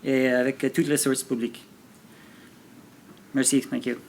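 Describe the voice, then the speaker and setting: A native English-speaking man giving a conference presentation haltingly in a second language, with frequent hesitations. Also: mid-range voice, little pitch movement, very noisy. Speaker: government researcher presenting a poster pitch. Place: live forum presentation.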